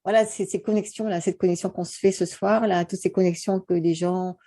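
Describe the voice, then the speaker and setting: A woman's voice: on the low side, steady tone, a little fast, mellow. A woman from France hosting an interview, talking earnestly and at length, with many fillers like "euh" and "voilà". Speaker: host. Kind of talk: casual conversation.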